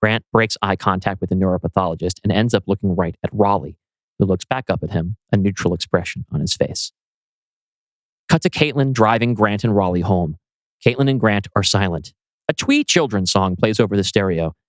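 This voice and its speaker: A man reads screenplay directions as a narrator. His voice sits slightly low, and the recording is dry and close, suited to scripted audio storytelling. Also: brisk, dry recording, poor audio. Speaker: narrator reading stage directions.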